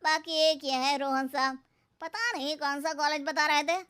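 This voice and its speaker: A woman's voice: high-pitched, steady tone, normal speed, sharp tone, pitched above the performer's own voice. A woman voicing an arrogant, condescending rich young man who belittles his in-laws in a cartoon story. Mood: mocking. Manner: condescending, mocking. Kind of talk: Hindi cartoon moral-story dialogue.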